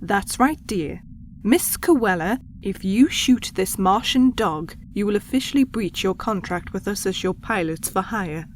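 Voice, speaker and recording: A woman voicing a calm, principled, kindly alien co-pilot, speaking formally in a science fiction audio drama. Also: medium pitch, measured pace, a little hiss or hum, natural voice. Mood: firm, stern. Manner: composed, formal, articulate.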